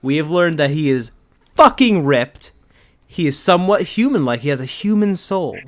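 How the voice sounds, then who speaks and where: A co-host riffing casually on a dramatic reading in a sarcastic, mock-defensive way, with halting repetitions. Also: mid-range voice, animated.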